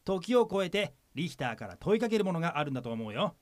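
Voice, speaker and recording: A man playing an excitable, earnest younger brother in a comedic radio drama, with exaggerated delivery. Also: fairly high pitch, fast talker. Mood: earnest, reassuring. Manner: exaggerated, earnest, breaks into yelps and stammers.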